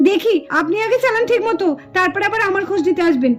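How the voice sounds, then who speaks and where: Woman voicing a shrill, huffy young cartoon aunt. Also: animated, quick pace, soft background music. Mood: nervous, then proud. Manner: scolding, indignant, dramatic. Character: irritable, easily startled proud young aunt in puppet cartoon. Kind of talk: exaggerated Bengali doll-play cartoon acting.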